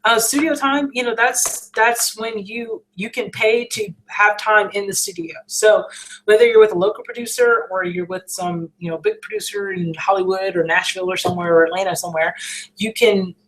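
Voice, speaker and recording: A woman's voice: mid-range voice, moderate pace. An American woman with a slightly scratchy voice talks casually and earnestly to a livestream audience, encouraging them with informal, emphatic repetition. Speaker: musician hosting a livestream question and answer session. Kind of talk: casual encouraging chat, reading out viewer questions.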